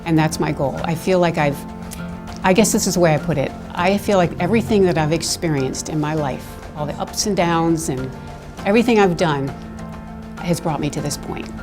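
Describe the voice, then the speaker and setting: An older woman working as an eviction mediator, speaking in a soft, gentle, non-threatening voice in an interview. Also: deep voice, normal speed. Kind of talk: interview in a produced video. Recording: music bed under the voice.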